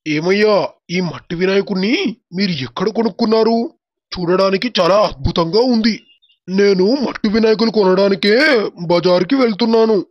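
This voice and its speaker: Man voicing a high-toned generous village elder. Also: fairly quick, no echo, close to an everyday voice. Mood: impressed. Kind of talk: Telugu moral story cartoon dialogue. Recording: dull, muffled track with music. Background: background music.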